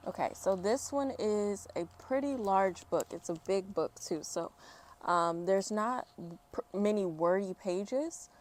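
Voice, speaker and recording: A woman reading a children's picture book aloud in an expressive, unhurried way, with informal chatty asides. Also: medium pitch.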